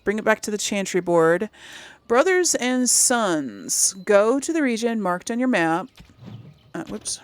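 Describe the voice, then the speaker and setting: A woman's voice: mid-range voice, sing-song, measured pace, clean tone, no room sound. A woman giving casual game playthrough commentary, thinking aloud and muttering to herself as she goes. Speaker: gaming channel host.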